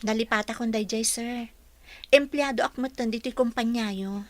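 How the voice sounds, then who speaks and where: Actress as high-voiced young Ilocano female employee. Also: fairly even intonation, moderate pace, dry recording, close to an everyday voice. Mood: questioning. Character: Ivory, earnest young female office employee. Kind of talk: Ilocano radio drama acting.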